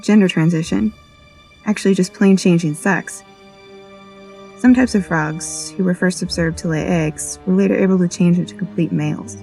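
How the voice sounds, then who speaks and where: A woman playing a sharp, matter-of-fact scientist in an audio drama, explaining things in a measured way. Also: on the low side, steady tone, vocal fry, faint music behind. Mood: probing, thoughtful.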